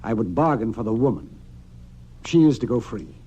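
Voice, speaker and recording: Frontier doctor, lively pitch swings. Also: male voice, normal speed, husky, dull audio. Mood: grave, firm. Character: seasoned frontier town doctor, protective and steady. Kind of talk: old-time radio Western acting. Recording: noisy old-time radio recording.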